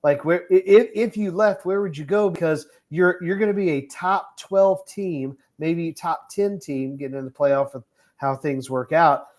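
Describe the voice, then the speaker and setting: A man's voice: on the high side, moderate pace, darkish tone. A man co-hosting a sports podcast, posing questions and arguing through what-ifs. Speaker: podcast co-host, coach. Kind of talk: casual sports talk.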